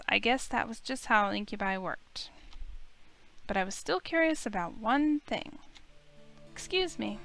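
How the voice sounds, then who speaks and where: A woman's voice: on the high side, fairly bright tone. A woman streamer reading a visual novel aloud, telling the story expressively in the first person. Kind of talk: expressive story read-aloud of game text.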